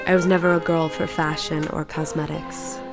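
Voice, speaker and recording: A woman's voice: low voice, flat delivery, normal speed, vocal fry, over music. A woman playing a nervous, prickly, reclusive housewife in a period audio drama, blunt and guarded. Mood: defiant.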